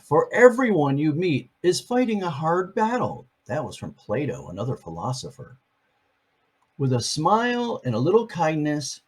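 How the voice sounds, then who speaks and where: An older grandfather reads a children's book aloud, his voice a little husky and breathy. He takes his time, lingering over each line, and his fairly high voice rises and falls with a lot of lively swing. Between pages he drops in gentle, playful comments. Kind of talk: reading a picture book aloud.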